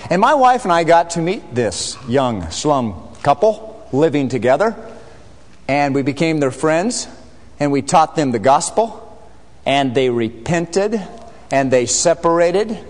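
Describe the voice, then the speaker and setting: A middle-aged American man, a missionary, telling stories to a church congregation in an animated, joking way. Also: on the high side, a little slow. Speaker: missionary speaker. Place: large church sanctuary. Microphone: far camera. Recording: reverberant sanctuary.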